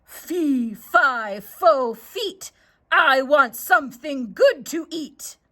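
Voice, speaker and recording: A woman voicing a huge, hungry female giant from a children's storybook, chanting in rhymes with a gruff, booming delivery. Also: expressive, extremely slow, a bit bright, heavily acted. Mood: menacing, teasing.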